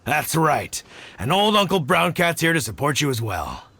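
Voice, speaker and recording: A middle-aged man voicing an easygoing, fatherly hunter who talks in a relaxed, thoughtful way. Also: on the high side, normal speed, gravelly, breathy edge, full character voice. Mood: warm. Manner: relaxed, thoughtful, frequent sighs. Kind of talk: game cutscene voice acting.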